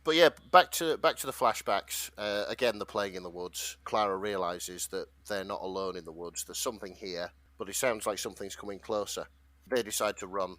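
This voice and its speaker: A man with a slightly low voice co-hosts a casual podcast. He retells and reads from a story in an informal, wry way, and the sound is very dry with no room to it. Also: brisk, few pauses, fairly bright tone, dry recording. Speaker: podcast co-host presenting a fan fiction story. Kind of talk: casual chat, summarising and reading out a story.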